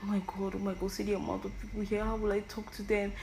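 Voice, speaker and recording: A woman's voice: mid-range voice, little pitch movement, fast, creaky, darkish tone, slight background noise. A young Nigerian woman with an Igbo accent, whose first language is Igbo. She hosts a podcast and talks through her thoughts in a personal monologue, often stammering and repeating words. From Igbo land, Nigeria. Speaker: podcast host.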